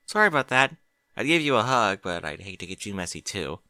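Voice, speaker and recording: A man's voice: on the low side, big pitch swings, brisk, sharp tone, no room sound, clean studio sound, exaggerated voice. A man voicing a fretful, bookish young pony mare, anxious and easily flustered. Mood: weary.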